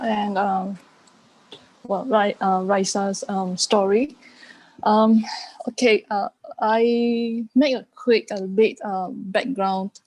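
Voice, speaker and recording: A Malaysian woman gives a casual introduction in an online talk. She speaks very slowly, with many halts. Her tone is mellow and the sound is close and dry. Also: mid-range voice, dry recording, poor audio. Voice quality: halting, pausing often. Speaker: art curator, guest.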